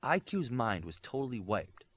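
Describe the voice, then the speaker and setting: A man's voice: medium pitch, very animated, normal speed, fairly bright tone, voice pushed up. Man acting a blunt elderly woman doctor. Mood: pessimistic.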